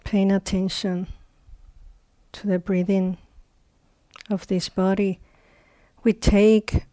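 A woman leads a guided meditation in a soft-edged, mellow voice. She speaks very slowly, leaving long pauses between short phrases. The sound is close and dry. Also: mid-range voice, little pitch movement, no room sound. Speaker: meditation teacher.

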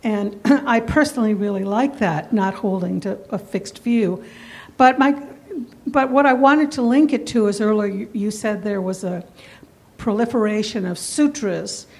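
A woman's voice: mid-range voice, a little slow. Zen lady from the audience asking her question, earnest, bit self-deprecating. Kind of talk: question from the floor.

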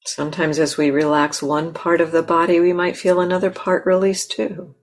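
A woman meditation teacher leading a guided meditation in a gentle, unhurried manner, often pausing mid-phrase. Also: fairly low pitch, flat delivery. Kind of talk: guided meditation, slow with long pauses. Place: meditation center.

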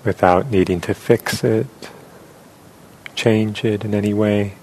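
A man leading a guided meditation as a teacher, speaking gently and with long pauses. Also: fairly low pitch, steady tone, slight background noise, poor audio.

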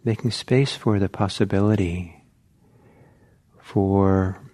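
A man teaching meditation in a gentle, reflective, halting way, leaving long pauses between short phrases. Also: low-pitched, fairly even intonation. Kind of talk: guided meditation talk.

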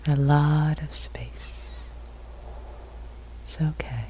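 A woman meditation teacher with a soft, husky, breathy voice, guiding a meditation in sparse, unhurried, soothing phrases. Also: low-pitched, fairly even intonation, in a whisper, low-quality recording. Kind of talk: guided meditation.

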